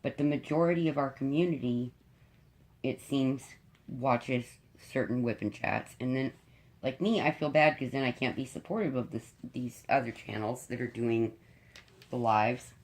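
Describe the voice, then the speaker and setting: Middle-aged woman w a cold, gravelly and stuffed up, rambling chat to her viewers from home. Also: extremely low pitch, normal speed. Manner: chatty, rambling, frank. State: congested with a cold, raspy and deep. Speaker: diamond painting YouTuber.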